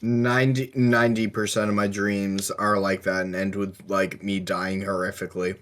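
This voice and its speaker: A man co-hosting a casual discussion podcast, explaining his thoughts in a halting, thoughtful way. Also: lowish voice, little pitch movement, fairly bright tone. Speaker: podcast co-host.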